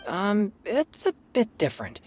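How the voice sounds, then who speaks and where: A woman's voice: medium pitch, huge pitch swings, a little slow, a little mellow, faint music behind. A woman voicing an earnest, polite teenage boy exorcist in a fantasy video game, speaking formally and a little hesitantly. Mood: serious.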